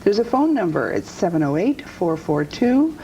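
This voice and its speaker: A woman's voice: on the low side, sing-song, normal speed, warm tone, muffled recording, a little hiss or hum. A woman hosting a talk show in a chatty interview style.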